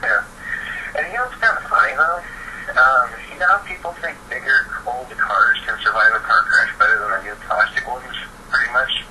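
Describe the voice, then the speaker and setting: A man's voice: mid-range voice, normal speed. A young man chatting casually and explaining his opinions eagerly. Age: teens or young adult. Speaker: participant in a phone chat. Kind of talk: casual chat. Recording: noisy, distant phone-call recording.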